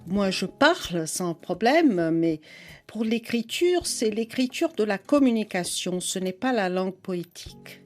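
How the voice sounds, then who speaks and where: Older woman, measured slow speech, interview guest. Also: mid-range voice, animated, soft background music. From Brazil. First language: Portuguese. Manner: thoughtful, unhurried, laughs now and then. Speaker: guest, psychoanalyst and writer. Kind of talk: relaxed interview conversation.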